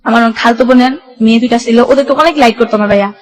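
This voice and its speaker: Fast-talking young Bangladeshi woman storyteller. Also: on the high side. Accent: Bangladeshi Bengali. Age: young adult. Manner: colloquial run-on storytelling with reported dialogue. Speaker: guest telling her life story. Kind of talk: personal life-story narration.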